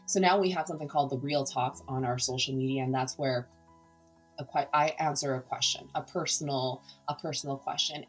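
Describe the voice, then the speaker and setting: A middle-aged Canadian woman with a low, deep-set voice and Cantonese as her first language, talking earnestly in a podcast interview. She pauses and restarts as she reflects, with faint music under her. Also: a bit bright, breathy edge, low-quality recording. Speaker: podcast guest, nonprofit founder. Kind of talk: candid conversational interview.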